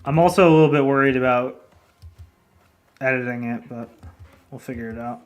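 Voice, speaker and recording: Man, indie game dev on stream, casual, rambling, laughs a lot, mid pitch. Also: normal speed, lo-fi sound. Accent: non-native accent in Japanese. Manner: loose, rambling, laughs often, swears casually. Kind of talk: casual livestream chat, answering chat. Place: lives in Japan as a foreigner.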